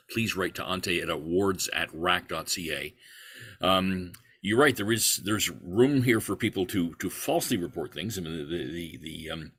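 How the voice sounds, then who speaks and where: A man's voice: low-pitched, sharp tone, slightly rough. A man answering a question in an online meeting, rambling through restarts and fillers. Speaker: award creator, club volunteer.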